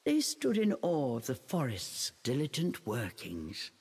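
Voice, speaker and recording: A woman's voice: a bass voice, huge pitch swings, measured pace, a little mellow, breathy edge, heavily acted. A woman playing the storybook narrator of a video game, reading scripted fairy-tale narration. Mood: storytelling. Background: music.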